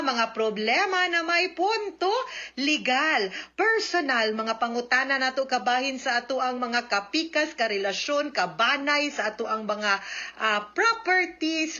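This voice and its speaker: A Cebuano woman lawyer hosts a radio advice program in a chatty manner, dropping English phrases into her talk. Her voice is bright and sits a bit high. The recording is slightly muffled, like an old broadcast. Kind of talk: radio call-in advice hosting.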